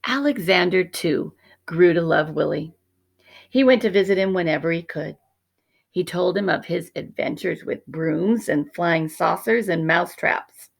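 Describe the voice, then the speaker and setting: Slow, creaky-voiced woman reading a storybook. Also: on the low side. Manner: measured, dramatic, dropping to hushed tones. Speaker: teacher reading a picture book. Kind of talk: expressive storybook narration for children.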